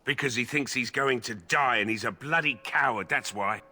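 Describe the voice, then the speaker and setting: Surly prison guard, blunt, sardonic, grumbling, very forceful sharp tone, average pitch, fantasy game. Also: male voice, moderate pace. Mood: scornful. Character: grumbling, callous male army guard with grudging decency. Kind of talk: video game voice acting.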